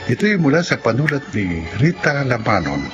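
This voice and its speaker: A man's voice: medium pitch, very animated, moderate pace, highs cut off. A man announcing credits and introducing a radio drama in a scripted presenter style. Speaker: announcer and host. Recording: loud theme music behind.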